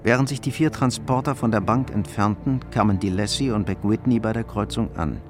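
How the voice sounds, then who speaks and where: A man's voice: on the low side, moderate pace. A man narrating a crime radio play in a scripted, literary style. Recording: music under the voice. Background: music.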